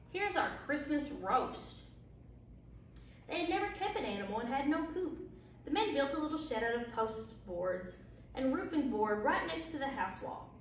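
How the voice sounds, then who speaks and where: Lady storyteller reading a bedtime tale, really animated, far from the mic w lots of echo. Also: high voice. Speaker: library storyteller. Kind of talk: bedtime story read aloud. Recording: very echoey, distant, faint background noise.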